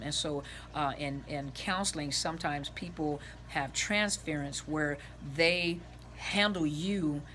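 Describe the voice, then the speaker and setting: A woman counselor with a raspy voice gives informal advice to viewers, rambling earnestly and restarting her phrases, recorded indoors on a camera set on the floor. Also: deep voice, fairly bright tone, a little hiss or hum. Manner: gestures with her hands as she talks.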